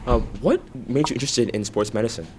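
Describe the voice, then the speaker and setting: A man's voice: mid-range voice, expressive, quick pace, heavy background noise. A man hosting a casual interview as a student, hesitant with many fillers.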